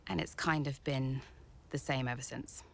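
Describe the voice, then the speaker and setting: A woman's voice: a bass voice, steady tone, moderate pace, vocal fry, a bit bright, slight background noise, exaggerated voice. A woman playing a resentful, defensive racing driver in a video game, plain-spoken and reproachful. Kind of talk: dramatic game cutscene dialogue.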